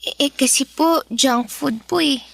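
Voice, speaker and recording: Polite shy kid girl in a pinoy radio drama, high voice, bit hesitant. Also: measured pace, creaky, fairly bright tone, no room sound, exaggerated voice. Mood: shy, pleased. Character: polite, obedient eleven-year-old girl, shy and modest. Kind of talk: Filipino radio drama acting.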